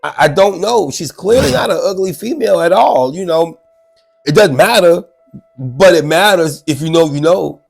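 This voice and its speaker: A man's voice: fairly high pitch, moderate pace, creaky, husky, soft background music. Congested man hosting casual commentary. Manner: chatty, animated, repeats himself. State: congested, sick. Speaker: show host. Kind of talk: casual commentary on a news clip.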